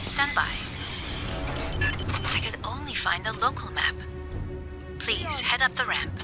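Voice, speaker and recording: A woman voicing a polite android analyst in a video game, speaking in a formal, measured and precise way. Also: fairly high pitch, lively intonation, over loud music. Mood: precise, calm. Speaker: team's technical analyst and translator.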